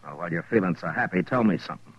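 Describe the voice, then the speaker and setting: Tired dry american marshal in a radio western, real deep rough voice, muffled vintage sound. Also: male voice, expressive, rapid-fire, dry recording. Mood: concerned, urgent. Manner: terse, dry. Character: weary, watchful, level-headed frontier marshal. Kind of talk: old-time radio western acting. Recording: dull vintage radio recording.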